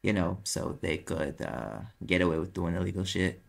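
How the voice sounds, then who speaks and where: Man playing a gravelly, brash New York private eye. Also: sits low, animated, moderate pace, heavily acted. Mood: explaining, weary. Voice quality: gravelly, husky. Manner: sardonic, casual, swearing, defensive. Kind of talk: audio roleplay acting, conversational.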